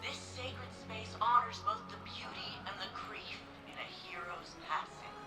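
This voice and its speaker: A woman playing a devoted, wry archivist in a video game, speaking in measured, articulate phrases. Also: medium pitch, lightly acted. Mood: solemn, reverent. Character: wry, devoted female archivist of a sci-fi city's heroes. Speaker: archivist and memorial guide. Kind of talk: scripted video game voice acting. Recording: echoey room sound. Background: clear music.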